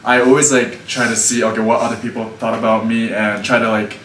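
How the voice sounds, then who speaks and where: A man's voice: medium pitch, steady tone, a little fast, fairly bright tone. A man in his twenties who grew up in Japan, with Japanese as his first language, speaking English easily and filling his talk with "like" as an interview guest. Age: 20s. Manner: easygoing, leans on filler "like". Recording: roomy, distant mic, faint background hum.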